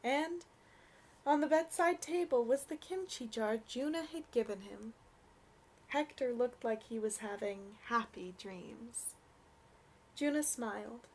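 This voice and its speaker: A young adult woman reading a storybook aloud for children in an expressive, gentle way. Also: on the high side, slow pace, slight background noise, rough recording.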